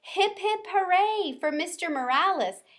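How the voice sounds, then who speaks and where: A woman voicing an excitable, kind pet hamster in a storybook, exclaiming and repeating words eagerly. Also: very high-pitched, a little slow, voice pushed up, heavily acted. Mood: excited, cheering.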